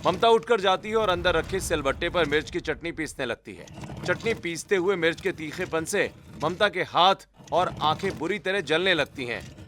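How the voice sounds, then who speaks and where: Bright, high-voiced male storyteller. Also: lively intonation, normal speed, slight background noise. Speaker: narrator. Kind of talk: scripted story narration.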